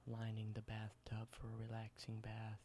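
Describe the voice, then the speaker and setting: A man whispers close to the mic in a low, steady voice that barely rises or falls. He explains ordinary objects slowly and simply, and a clear hiss runs under the recording. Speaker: ASMR creator. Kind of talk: whispered ASMR roleplay.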